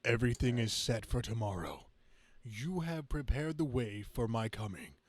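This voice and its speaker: A man voicing a grandiose male comic-book supervillain, with halting, pompous delivery. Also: mid-range voice, lively intonation, no echo, close to an everyday voice. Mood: gloating, menacing. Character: grandiose hate-preaching male supervillain addressing his followers. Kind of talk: dramatic comic-book reading.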